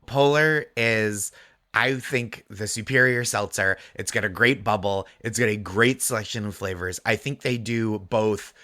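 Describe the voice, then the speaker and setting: A man with a light Massachusetts accent chats on a podcast with animated energy and frequent laughs. His voice sits slightly low but rings bright and forward. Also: unhurried. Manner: animated, chatty, laughs often. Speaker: podcast guest co-host. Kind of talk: casual chat.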